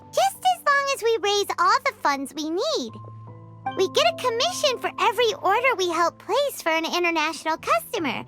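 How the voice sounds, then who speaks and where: Squeaky little fairy girl, very high-pitched. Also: pushed and bright, full character voice. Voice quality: squeaky, childlike. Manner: peppy, refers to self in third person. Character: small chirpy fairy-like girl companion, eager and friendly. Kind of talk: video game cutscene acting. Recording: music behind the voice.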